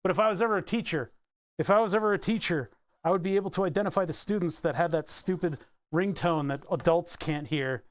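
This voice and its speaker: Brief: a rambling hobbyist streamer, a man with a slightly high voice, chatting at his workbench. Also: fast, no echo. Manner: rambling and chatty, answers chat, breaks into laughs. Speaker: electronics streamer. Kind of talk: casual live-stream chat while building a circuit.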